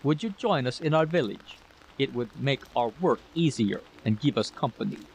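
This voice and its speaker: A man's voice: mid-range voice, animated, normal speed, gravelly, slightly rough, poor audio. Formal Colonel Borodoy as read by a man. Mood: cordial. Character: formal, regretful senior Russian colonel, Borodoy.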